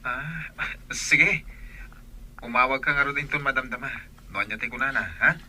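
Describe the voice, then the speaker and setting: An eager young suitor played by a man. His voice is very bright and sharp, coaxing in radio drama style over faint background noise. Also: medium pitch, normal speed. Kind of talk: Filipino radio drama acting.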